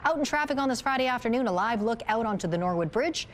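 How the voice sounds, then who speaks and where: A woman's voice: on the high side, animated, fast, slight background noise. Upbeat Canadian woman presenting weather. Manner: upbeat, folksy. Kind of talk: chatty weather forecast presentation.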